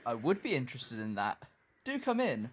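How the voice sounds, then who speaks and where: An actor playing a suave, suspicious young mansion owner in a comedic audio drama. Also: male voice, mid-range voice, wildly swooping pitch, normal speed, very noisy.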